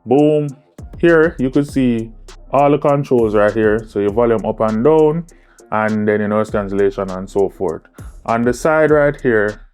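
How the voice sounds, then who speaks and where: A man's voice: lowish voice, a little mellow. Man giving a casual gear review. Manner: conversational, often checks in with "right?" and "all right?". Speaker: product reviewer. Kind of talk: casual product review to camera.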